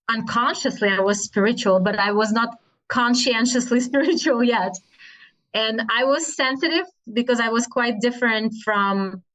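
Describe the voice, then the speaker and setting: A woman's voice: fairly high pitch, poor audio. A woman from Russia with a Russian accent, speaking thoughtfully as a guest in a casual spiritual conversation, often restarting her sentences. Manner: thoughtful, with frequent restarts and soft laughs.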